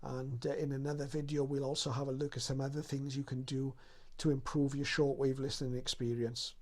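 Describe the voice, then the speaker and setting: A man's voice: medium pitch, little pitch movement, fairly quick. A man hosting a radio how-to video, talking in a casual, unscripted and explanatory way.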